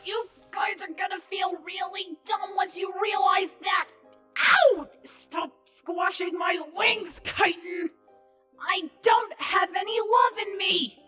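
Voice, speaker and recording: Woman voicing a high-pitched sarcastic young changeling. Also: slow, sharp tone, faint music behind, exaggerated voice. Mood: indignant. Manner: sarcastic, whiny, emphatic. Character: sarcastic, exasperated young female changeling, insect-like pony creature. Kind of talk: audio drama fan reading.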